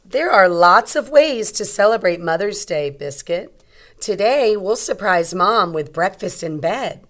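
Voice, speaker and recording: A woman's voice: medium pitch, lively intonation, unhurried, a bit bright. A woman voicing a caring little girl who talks to her puppy in a storybook, with emphatic read-aloud delivery. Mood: cheerful, eager.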